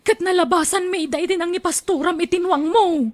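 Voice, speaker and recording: A woman's voice: very high-pitched, fairly quick. A woman with an Ilocano accent playing a fretful, tattling female neighbor in a radio drama.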